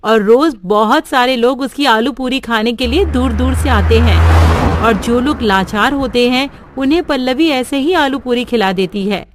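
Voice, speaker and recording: A woman narrating a simple cartoon story in a steady storybook manner. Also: on the high side, measured pace, background noise.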